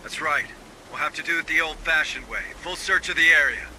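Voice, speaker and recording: An actor playing a gruff, protective Marine sergeant, terse and commanding, in a video game. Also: male voice, fairly high pitch, a little fast, pushed and bright, slight background noise, poor audio. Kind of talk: scripted game military dialogue.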